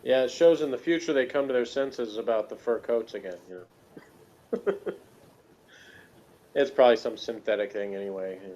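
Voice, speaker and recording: A man's voice in slightly dull, lo-fi sound, at a middling pitch. He chats casually as a co-host, dropping wry, joking asides. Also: moderate pace, pauses often, a little mellow. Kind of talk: casual chat.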